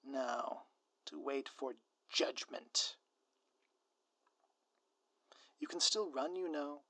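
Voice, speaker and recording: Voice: medium pitch, slow pace, bright, forceful tone, no room sound, exaggerated voice. A performer voicing a scheming, smug ex-supervillain spouse in a scripted audio roleplay, with a theatrical, sardonic, grandiloquent manner. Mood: haughty. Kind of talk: scripted audio roleplay monologue addressed to listener.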